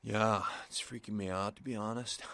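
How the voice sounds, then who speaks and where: An actor playing a nervous, spaced-out shop owner in a video game, rambling in a hesitant stoner drawl. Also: male voice, fairly low pitch, fairly even intonation, normal speed, vocal fry, a bit bright. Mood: uneasy. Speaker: shopkeeper character in a video game.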